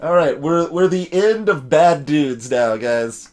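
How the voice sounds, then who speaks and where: A man's voice: fairly high pitch, animated, slow. A man hosting a let's play with casual commentary, reading captions aloud and adding wry, rambling asides.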